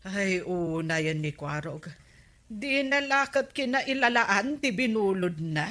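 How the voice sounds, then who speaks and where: An actress plays a chiding village woman in a comic Ilocano radio drama. Her bright, forceful voice sits at a middling pitch, scolding in a dry, matter-of-fact way. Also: expressive, takes it slowly.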